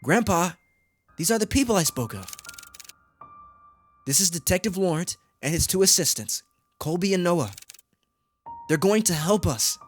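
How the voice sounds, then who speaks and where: A man's voice: sits high, sing-song, normal speed, pauses often, soft background music, pitched above the performer's own voice. A man voicing an anxious, polite young woman from a visual novel, speaking with careful formality. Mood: polite, gentle.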